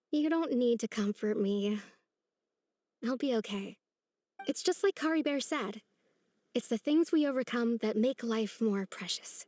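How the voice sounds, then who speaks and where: A woman playing a wistful, sincere young village girl in a video game, with soft music behind her. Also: fairly high pitch, dry recording, close to an everyday voice. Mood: brave, hurt. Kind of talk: video game dialogue acting.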